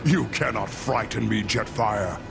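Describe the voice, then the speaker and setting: An actor playing an imperious, ruthless giant robot warlord in a video game, commanding, menacing and grandiose. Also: male voice, measured pace, vocal fry. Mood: cold menace. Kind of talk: video game cutscene acting. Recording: loud music and battle rumble behind.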